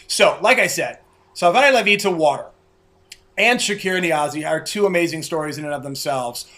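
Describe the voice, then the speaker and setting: A man's voice: a little high, normal speed, fairly bright tone. A man hosting an interview, speaking emphatically and repeating words for effect. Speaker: interview host.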